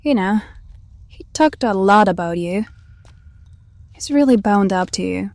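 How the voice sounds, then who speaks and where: A woman's voice: medium pitch, animated, measured pace, a little mellow, no room sound. An actress playing a teasing, sardonic, hard-drinking female hireling who coaxes her companion in an audio drama.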